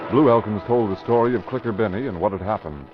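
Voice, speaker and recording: A man giving measured, grave scripted narration for a radio drama. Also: fairly low pitch. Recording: dull vintage radio broadcast with music.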